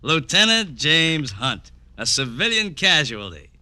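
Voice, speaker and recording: Sharp, sneering, bitter ex-soldier. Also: male voice, a little high, sing-song, a little slow, heavily acted. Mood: bitter, mocking. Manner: mocking, taunting, sneering. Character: bitter, sardonic ex-soldier with a cruel streak. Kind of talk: 1950s radio crime drama acting.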